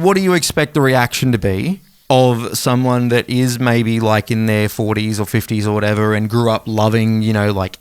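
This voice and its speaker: An Australian man co-hosting a music podcast in loose, colloquial chat with some swearing. His voice is smooth and clean at a middling pitch, recorded close and dry. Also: no room sound. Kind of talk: casual chat.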